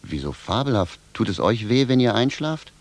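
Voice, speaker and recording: An actor playing a simple, bewildered and stubborn village cobbler in a radio drama, speaking bluntly and with a sense of grievance. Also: male voice, on the low side, big pitch swings, normal speed. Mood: puzzled. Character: plain-spoken, bewildered, stubborn village cobbler, grumbling indignantly. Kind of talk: theatrical radio drama dialogue.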